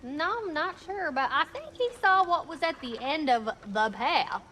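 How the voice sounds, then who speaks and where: A cheeky female dwarf smith in a video game. Her voice is high and bright, bouncing expressively. She talks in a playful, colloquial way, flirty and sassy, over faint ambience. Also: expressive, unhurried, a little hiss or hum, heavily acted. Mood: gossipy. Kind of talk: animated video game acting.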